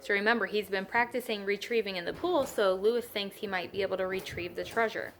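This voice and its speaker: A woman reads a children's story like a teacher, pausing to explain it in simple asides. Her voice is mid-pitched, with noticeable noise in the recording. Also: fairly even intonation.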